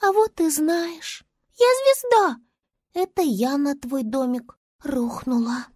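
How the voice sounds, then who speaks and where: A woman's voice: sits high, takes it slowly, mellow, no echo, studio quality, voice pushed up. A woman voicing a small, touchy, tearful fallen star in a children's story, theatrical and plaintive. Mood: huffy.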